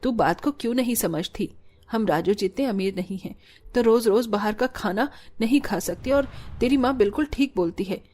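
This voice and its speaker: A woman's voice: on the high side, moderate pace, darkish tone, natural voice. A woman voicing a kindly, reasoning older family woman in a cartoon story. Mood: gently chiding.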